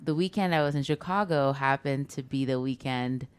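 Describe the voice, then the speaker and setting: A young woman from California with a Californian accent, telling stories in a casual, animated podcast chat full of "like". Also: rumbling low, normal speed, crowd noise. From Southern California. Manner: animated storyteller, lots of "like", laughing. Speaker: podcast co-host.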